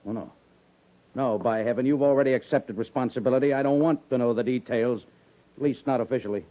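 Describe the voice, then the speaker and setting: A man's voice: mid-range voice, a little fast, lightly acted. A man in a 1950s radio drama playing a blustery, grumbling but indulgent cavalry major, emphatic and easily exasperated. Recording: muffled, band-limited 1950s radio recording.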